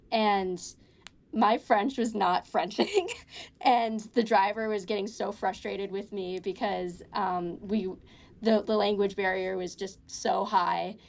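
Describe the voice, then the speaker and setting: A young woman in college talks through her experiences in a loose, rambling way. She fills her sentences with "like" and "um" and often starts them over. Her pitch sits right in the middle for a woman. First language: English. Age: young adult. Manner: rambling, many fillers and restarts. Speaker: college student guest. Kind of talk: casual conversation.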